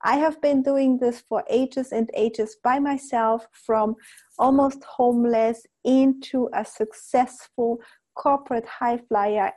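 An enthusiastic woman hosting a podcast, her voice a little high yet mellow and warm. She talks in an effusive, motivational way, with faint music playing behind her. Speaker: podcast host. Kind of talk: podcast interview.

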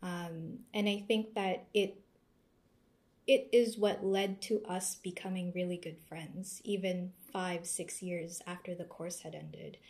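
A young woman speaks as an interview guest. She talks thoughtfully, pausing often as she searches for her words. Her tone stays casual and conversational. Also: fairly low pitch, steady tone, a little mellow. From Canada. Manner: thoughtful, reflective, with searching pauses. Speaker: interview guest, former seminar student.

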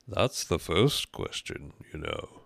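A man voicing an indifferent, dim-witted creature footman in a storybook reading, deadpan and drawn-out. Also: sits low, vocal fry, slightly rough, no echo, heavily acted. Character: indifferent, dim, stolid male fish-or-frog footman creature.

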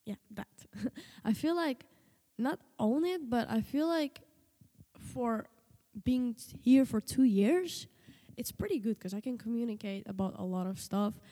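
A woman's voice: a little high, expressive, a little slow, warm tone, long reverb tail. A teenage girl from Slovenia, a native Slovenian speaker, chatting casually and hesitantly in an interview with many fillers and restarts. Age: teens. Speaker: guest, host's daughter.

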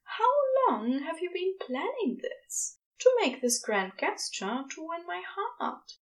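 Woman voicing a tender, high-pitched male angel. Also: very animated, takes it slowly, full character voice. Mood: fond, amused. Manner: soft, fond, old-fashioned refined phrasing. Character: tender, gently teasing male angel in love. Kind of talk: audio fiction character voice.

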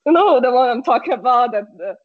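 A Brazilian woman speaking English as a second language, a podcast guest in casual chat, pausing often to search for words. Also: on the high side, lively intonation. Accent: Brazilian Portuguese. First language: Portuguese. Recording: muffled lo-fi call audio.

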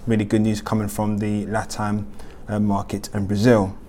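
A man's voice: fairly low pitch, monotone, normal speed, dark tone, a little hiss or hum. A man hosting a weekly news roundup, reading the items with many ums and uhs. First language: not German. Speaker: host.

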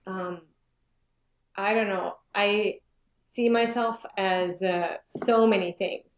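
A woman's voice: medium pitch, steady tone, slow. A woman in her forties from Finland, a yoga business owner, talking casually as an interview guest and thinking aloud with many fillers. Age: 40s. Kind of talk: casual conversation. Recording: echoey room.

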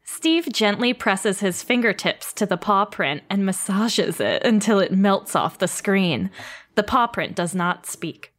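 A woman's voice: mid-range voice, lively intonation, unhurried, fairly bright tone. A woman narrates the stage directions with a dry, wry touch. Her voice sits mid-range, but she moves it around a lot. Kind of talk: comedic table read of stage directions.

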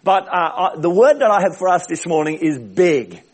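A man preaches a sermon in a big, booming voice that sits high in pitch. He hammers his points home by repeating short phrases. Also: very animated, normal speed, breathy edge. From Australia. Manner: big booming voice, emphatic repetitions. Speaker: visiting preacher. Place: church service.

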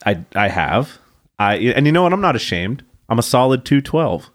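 A man's voice: medium pitch, very animated, normal speed. A young man co-hosting a podcast who sounds congested and a little out of breath from allergies, teasing and laughing easily. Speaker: podcast co-host. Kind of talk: casual banter.

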